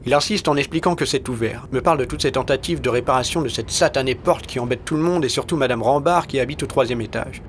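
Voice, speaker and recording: A man narrates an audio short story in the first person, recorded in a studio. His voice sits at a medium pitch, and he tells it in a deadpan, colloquial way. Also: fast, hardly any pauses, slight background noise.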